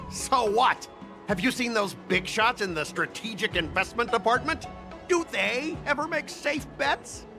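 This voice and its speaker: Cocky corporate villain, bossy and theatrical, voice jumps all over, music behind. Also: male voice, sits high, heavily acted. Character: brash, scheming corporate gambler villain. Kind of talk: anime-style game voice acting.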